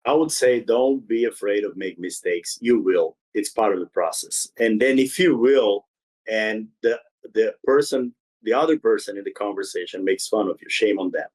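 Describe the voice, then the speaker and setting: A man's voice: fairly low pitch, moderate pace, a little mellow, low-quality recording. Earnest man, Portuguese native speaker. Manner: earnest, emphatic, some non-native phrasing. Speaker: podcast guest, painter. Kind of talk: casual interview talk.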